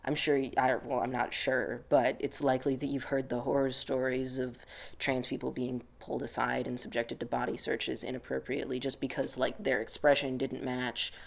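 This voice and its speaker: A man's voice: mid-range voice, little pitch movement, normal speed, creaky. A young man from the United States, answering interview questions in a reflective, casual way, often saying "like". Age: young adult. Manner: reflective, many filler "like"s.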